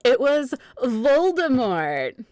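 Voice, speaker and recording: A woman's voice: high-pitched, big pitch swings, extremely slow, darkish tone, dry recording, studio quality. A woman narrating a comic dramatic reading of fan fiction, with casual asides, as host.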